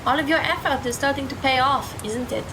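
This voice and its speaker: A woman's voice: high voice, a little fast, noisy background. A woman playing a kind, patient, encouraging teenage girl in an audio drama. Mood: patient, supportive.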